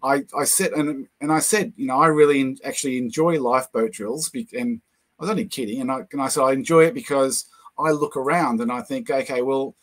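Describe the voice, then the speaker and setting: An older man with a New Zealand accent, a guest lecturer telling anecdotes over a video call, with many pauses and fillers. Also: medium pitch. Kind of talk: casual chat, storytelling.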